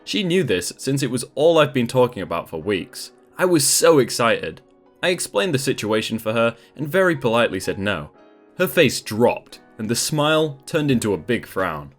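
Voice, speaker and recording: A man narrating a read-aloud online story in a scripted, expressive manner. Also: medium pitch, moderate pace. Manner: lively, expressive reading. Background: faint background music.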